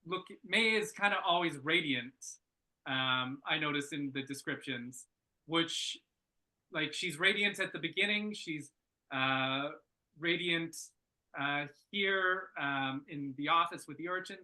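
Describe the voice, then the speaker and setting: Bright-voiced man giving halting, informal feedback. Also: a little high, rough recording. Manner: halting, thinking aloud. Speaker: script reader giving feedback. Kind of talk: casual workshop feedback discussion.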